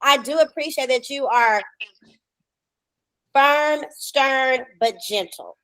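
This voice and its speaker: A woman in her thirties hosting a casual interview on a video call, talking in an expressive, colloquial way. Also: high-pitched, takes it slowly, dry recording, rough recording. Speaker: podcast host.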